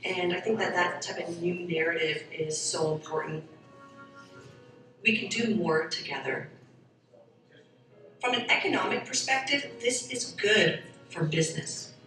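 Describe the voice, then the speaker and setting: Earnest woman interviewee, lower voice, heard from afar over background music. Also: unhurried, long pauses, a bit bright. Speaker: soccer program founder. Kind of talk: documentary interview. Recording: echoey, from far off, with music.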